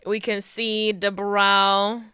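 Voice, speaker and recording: A woman on a live stream thinking aloud as she explains her rigging steps to chat, her voice going hoarse. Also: mid-range voice, level tone, very slow, bright, forceful tone, dry recording. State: losing her voice. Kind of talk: casual live-stream talk while working.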